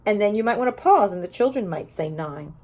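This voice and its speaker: A woman's voice: medium pitch, animated, a little fast, darkish tone. A woman teacher giving an informal, explanatory lesson built around reading a children's picture book aloud. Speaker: teacher presenting educational read-aloud videos for teachers.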